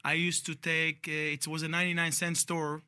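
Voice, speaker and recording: A man in his forties with an Israeli accent talks as a podcast guest, eager and chatty, laughing easily. His voice is very bright and ringing, crisp and clean, set a little high. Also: flat delivery, fairly quick. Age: 40s. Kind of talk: casual interview chat.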